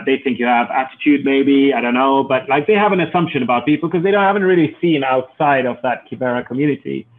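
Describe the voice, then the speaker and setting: A man's voice: medium pitch, a little hiss or hum. Man from Kenya on a thin phone-like line. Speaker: entrepreneur, interview guest. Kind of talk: conversational interview answers. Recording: thin phone-like call audio.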